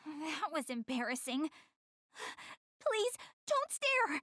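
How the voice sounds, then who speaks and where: A woman's voice: high voice, very animated, takes it slowly, pushed and bright, dry recording, clean studio sound, heavily acted. A woman playing a proud, clumsy, secretly caring anime girl in a video game, chatty, defensive and easily flustered. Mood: embarrassed. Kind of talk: English-dubbed anime video game cutscene acting.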